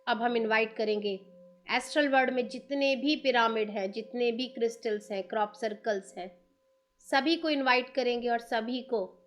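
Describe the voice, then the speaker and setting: A woman with an Indian accent guides a meditation in a soothing manner, giving instructions in short, unhurried phrases. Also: fairly high pitch, fairly bright tone. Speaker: meditation guide, channel host.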